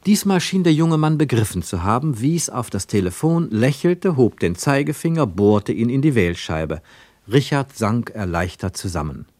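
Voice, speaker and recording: A man narrating a literary radio play with expressive, scripted storytelling. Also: mid-range voice, normal speed, dry recording.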